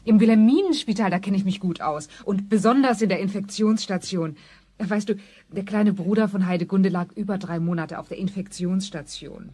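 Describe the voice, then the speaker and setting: A woman's voice: medium pitch, normal speed, mellow, breathy edge, lightly acted. An actress playing a gushing, inquisitive, chatty mother in a German children's radio play. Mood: gushing, curious. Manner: effusive, inquisitive. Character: gushing, chatty middle-class mother of a schoolgirl.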